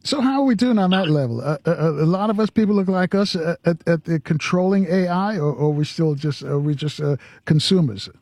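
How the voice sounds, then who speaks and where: A man hosting a live radio talk show, speaking in a halting way and repeating words as he goes. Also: fairly high pitch, a little mellow. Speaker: radio host. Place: radio studio.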